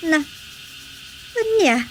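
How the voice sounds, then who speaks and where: A woman's voice: drawn-out words, slight background noise, low-quality recording. A woman acting a concerned, prying married aunt in a radio drama, questioning and insistent with an Ilocano accent.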